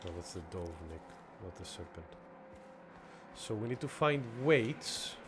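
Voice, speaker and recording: A man's voice: lowish voice, lively intonation, measured pace, noisy background. Chatty man from Greece commentating a game. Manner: chatty, reacting to the game and answering chat. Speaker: streamer. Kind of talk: casual gameplay commentary.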